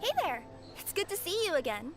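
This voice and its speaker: A bubbly young cat girl chats in a very high, cute voice. She is perky and friendly, an anime game courier with music playing clearly behind her. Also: sing-song, a little fast. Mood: cheerful. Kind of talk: scripted anime-style video game dialogue.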